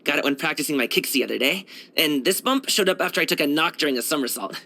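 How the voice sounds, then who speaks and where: A man's voice: fairly high pitch, lively intonation, fast, pushed and bright. A man voicing a brash, cheerful young martial arts dancer and guard in a video game, chatting casually in a slangy, boyish, self-assured way. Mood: candid, upbeat. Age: young adult. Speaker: video game character voice actor. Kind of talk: casual, conversational game voice lines.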